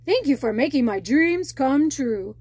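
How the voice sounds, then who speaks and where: A woman's voice: high voice, lively intonation, a little slow, a little mellow, no room sound, clean studio sound. A woman voicing a friendly, music-loving male shark in a children's storybook, earnest and emphatic. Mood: grateful.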